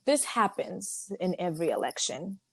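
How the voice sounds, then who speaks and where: A young woman from Uganda with a mixed, neutral accent, a podcast guest speaking candidly in a lively way and laughing easily. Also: on the low side, moderate pace, vocal fry, husky, no echo. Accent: mixed, neutral, slightly American. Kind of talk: casual interview.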